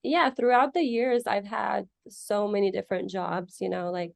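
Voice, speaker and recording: A woman's voice: medium pitch, normal speed, creaky, no echo, studio quality. A young woman from Egypt answering interview questions in a casual, chatty way, with many fillers and restarts. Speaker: guest.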